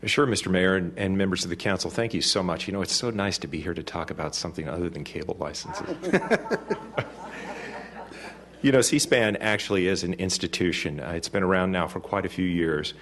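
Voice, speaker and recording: A man representing a cable company, giving formal remarks to a city council in a halting way with many fillers. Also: sits low, a bit bright. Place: council chamber.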